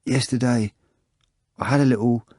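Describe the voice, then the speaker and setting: A man voicing a wretched, hungover man in an audiobook, hoarse and feeble, straining to get words out. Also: medium pitch, steady tone, a little mellow, no echo, studio quality, natural voice. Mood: halting, sheepish. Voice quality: hoarse, rasping. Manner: strained, effortful, halting. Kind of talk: audiobook character voice.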